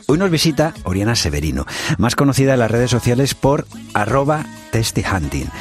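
A man presenting a radio show conversationally as co-host. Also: fairly low pitch.

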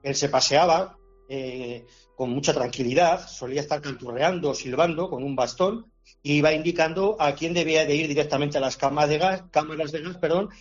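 Man, expert guest, fairly high voice, hesitant fillers, dull lo-fi sound, soft music behind. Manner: hesitant, many fillers and restarts. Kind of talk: conversational explanation.

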